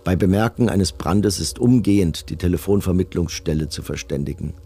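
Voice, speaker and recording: An actor playing an impersonal camp official, reading orders and reports in a formal, bureaucratic way for a documentary radio drama. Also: male voice, sits low, fairly quick, dark tone, slightly rough, soft background music. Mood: detached, formal.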